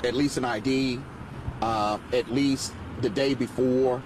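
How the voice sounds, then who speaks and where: Forties attorney, measured and emphatic, mid-range voice a bit off-mic, loud street traffic. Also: male voice, mid-range voice, fairly even intonation. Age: 40s. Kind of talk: press statement. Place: outdoors by a busy road. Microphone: reporters' handheld mics. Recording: loud traffic noise, slightly distant.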